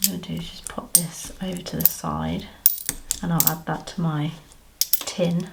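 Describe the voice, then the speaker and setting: Woman from the UK, croaky, gravelly, slow unhurried counting, noisy background hiss. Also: sits low, big pitch swings. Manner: counting money aloud, chatty asides. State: croaky voice, clearing throat. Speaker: budgeting vlogger. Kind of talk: casual cash-stuffing commentary.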